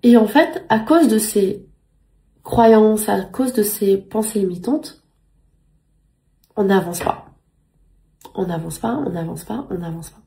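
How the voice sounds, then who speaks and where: A woman's voice: medium pitch, vocal fry, warm tone, poor audio. A woman in her thirties, a native Spanish speaker, talking casually to camera at home and repeating words as she looks for the right phrasing. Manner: earnest, repeats words while searching for phrasing. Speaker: vlogger.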